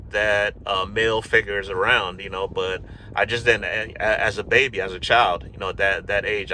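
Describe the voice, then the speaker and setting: A middle-aged man from Ghana, telling his life story to camera in a relaxed, rambling way, full of fillers and restarts. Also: fairly low pitch, slight background noise, rough recording. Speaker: YouTube vlogger. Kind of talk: casual personal storytelling to camera.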